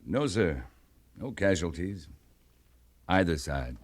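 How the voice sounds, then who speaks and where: A frontier cavalry captain with a fairly low voice. He is steady and sparing with words, carrying quiet authority in classic radio western style. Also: full character voice. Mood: dry, understated. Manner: measured, understated authority. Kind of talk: old-time radio western acting.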